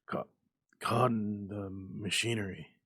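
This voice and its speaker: A man voicing a slow-witted, grieving old husband with an earnest, bewildered manner, in a dramatic story reading. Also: fairly low pitch, steady tone, a bit bright, dry recording, studio quality, full character voice. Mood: dazed grief. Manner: dazed, tender, earnest.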